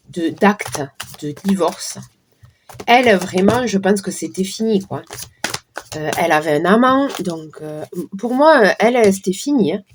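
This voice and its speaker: Hoarse middle-aged woman, casual card reader, chatty asides. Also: lowish voice, darkish tone, slight background noise. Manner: chatty, talks to viewers while shuffling cards. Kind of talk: casual card-reading livestream talk.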